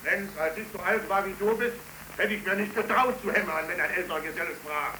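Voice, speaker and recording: An actor playing a grumbling older factory smith, scornful of the young, in 1930s German radio drama style. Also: male voice, sits high, a little fast, bright, forceful tone. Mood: indignant shouting. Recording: echoey, noisy 1931 radio recording.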